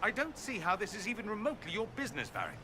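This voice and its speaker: A man's voice: sits high, wildly swooping pitch, rapid-fire. A man playing a witty, proud aristocratic mage in a video game, arch and droll. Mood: exasperated. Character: witty, proud male aristocratic mage, dryly self-mocking. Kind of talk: video game character acting. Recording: roomy long reverb, noisy ambience.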